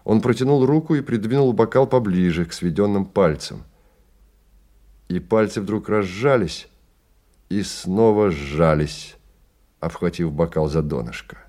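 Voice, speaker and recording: An actor narrating a bleak science-fiction radio play as a grave, measured, dramatic literary storyteller. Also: male voice, deep voice, warm tone. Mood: storytelling.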